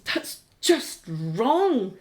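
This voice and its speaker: A practical, sceptical middle-aged British wife in a radio drama. Her voice is high and very animated, full of exasperation and sarcasm. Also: drawn-out words, exaggerated voice. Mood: fed up. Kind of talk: radio play acting.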